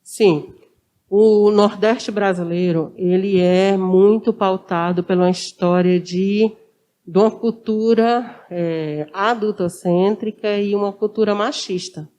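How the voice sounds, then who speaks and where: A woman's voice: mid-range voice, fairly even intonation, slow, dark tone. A woman speaking as an interview guest, explaining didactically and repeating phrases for emphasis. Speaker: interview guest, social worker.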